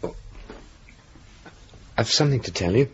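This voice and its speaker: An actor playing a mild young RAF officer with clipped, understated, quietly droll replies, in naturalistic radio drama style. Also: male voice, medium pitch, lively intonation, unhurried, natural voice. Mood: subdued. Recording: muffled, noisy old radio broadcast.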